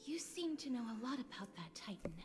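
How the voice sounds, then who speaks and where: An actress playing a gentle, weary young priestess in a video game, solemn, with a hushed, breathy voice. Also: female voice, a little high, very animated, a little fast, over music.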